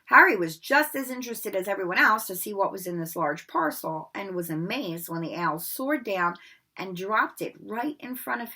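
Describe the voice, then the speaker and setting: A woman reading a children's novel aloud with a bright, clear voice. Her reading is expressive, with the odd slip and reread left in. The pitch sits in the middle range. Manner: expressive storybook reading, occasional slips and rereads. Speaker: read-aloud narrator.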